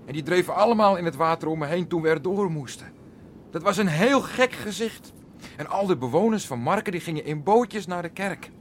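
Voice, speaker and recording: Bossy old grandfather, highish, animated. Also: brisk. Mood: reminiscing. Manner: blunt, domineering. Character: old island grandfather, bossy family patriarch, reminiscing. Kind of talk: Dutch radio play acting. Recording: slightly noisy old radio play recording.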